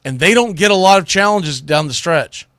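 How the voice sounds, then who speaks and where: A man with a slightly high-pitched voice delivers a sports radio monologue in a dry, close recording. He is conversational and opinionated, leaning hard on key words and pausing for effect. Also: expressive, a bit bright, no echo. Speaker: sports radio show host. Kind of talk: casual sports commentary monologue.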